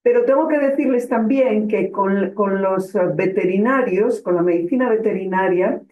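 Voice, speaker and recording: A woman's voice: medium pitch, moderate pace, phone-quality sound. Woman speaking in an echoey room. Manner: warm, gracious, with hesitations. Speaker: guest speaker. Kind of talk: opening a lecture.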